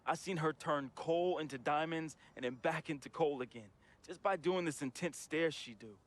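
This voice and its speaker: A man's voice: a little high, moderate pace, lightly acted. An actor playing a troubled, grieving young American man reading a farewell letter to his friend in an emotional, slangy, confessional voice-over. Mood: wistful, fond. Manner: emotional, slangy, heartfelt urban American delivery. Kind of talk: dramatic confessional voice-over monologue. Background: music.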